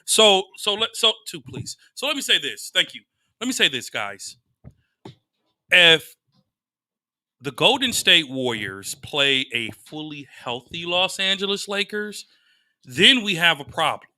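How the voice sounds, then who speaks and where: A man with a loud voice co-hosting a podcast, teasing and arguing about basketball. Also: fairly high pitch, big pitch swings, unhurried. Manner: teasing, sarcastic interjections. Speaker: podcast co-host. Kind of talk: heated sports debate.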